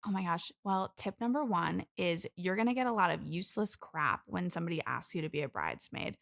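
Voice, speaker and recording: A woman's voice: on the low side, expressive, brisk, gravelly, a bit bright. A young woman playing an eager, earnest bridesmaid in an improvised comedy interview, chatty and rambling. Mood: eager, gushing. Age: young adult. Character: eager, earnest expert bridesmaid desperate for friends. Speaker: podcast guest.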